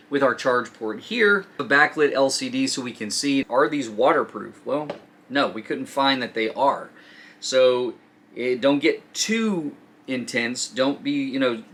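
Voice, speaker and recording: A man's voice: mid-range voice, pushed and bright, slight background noise. A man hosting a casual, joking product demo, informal and teasing as he explains the features. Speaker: host of a product review show. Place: studio.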